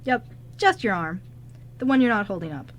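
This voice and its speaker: A woman playing a kind, nervous young man's ghost in an amateur radio drama, speaking in a halting, earnest way. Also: mid-range voice, animated, a little hiss or hum, close to an everyday voice. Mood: playful.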